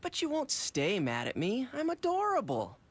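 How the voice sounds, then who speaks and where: Lively teenage cartoon boy hero. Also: very high-pitched, normal speed, slightly rough, exaggerated voice. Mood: smug, playful. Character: teenage boy hero leader, earnest yet cocky. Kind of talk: animated cartoon voice acting. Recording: music loud behind the voice. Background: loud music.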